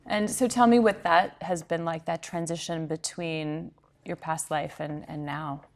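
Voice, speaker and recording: A woman's voice: low voice, steady tone, a little slow. A woman hosting a TV interview, asking encouraging follow-up questions and laughing easily. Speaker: TV interview host. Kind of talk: conversational interview.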